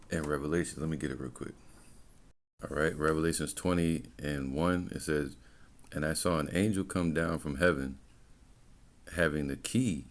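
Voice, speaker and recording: Very deep-voiced American man teaching. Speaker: religious camp teacher and presenter. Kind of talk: informal religious teaching, reading and commenting on an article.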